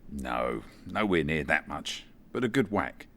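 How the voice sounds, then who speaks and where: An actor playing a matter-of-fact, faintly apologetic detective inspector in a comedy radio play. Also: male voice, rumbling low, big pitch swings, unhurried, a bit bright, exaggerated voice.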